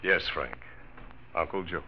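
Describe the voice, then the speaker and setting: An actor playing a calm, grave older uncle who gently reproves, in a 1940s radio drama. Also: male voice, low voice, measured pace, slight background noise. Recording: muffled old radio recording.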